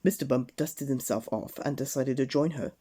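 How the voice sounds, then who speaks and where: A man reading a children's storybook aloud at bedtime, gently addressing the young listener. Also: medium pitch, normal speed, slightly rough.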